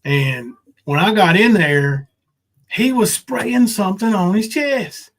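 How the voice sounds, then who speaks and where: A man's voice: high-pitched, slow pace. A man in his fifties hosting a podcast, chatting casually about old times with colloquial, folksy banter. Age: 50s. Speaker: podcast host, former pro wrestler. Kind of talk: casual reminiscing chat.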